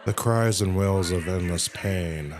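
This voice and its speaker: A man's voice: low-pitched, a little slow, a loud crowd. A man livestreaming a game, reacting casually and reading story text aloud. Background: game music.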